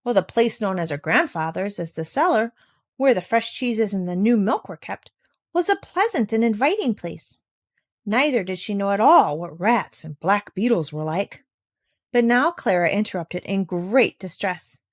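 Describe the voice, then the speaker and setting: A woman narrating a children's story as an audiobook reader, in an expressive style. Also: mid-range voice, normal speed, no room sound, studio quality.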